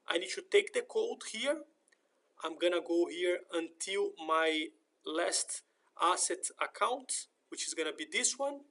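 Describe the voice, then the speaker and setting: A man narrating a step-by-step software screen tutorial in a methodical, explanatory manner. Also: high voice. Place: voice-over for a screen recording.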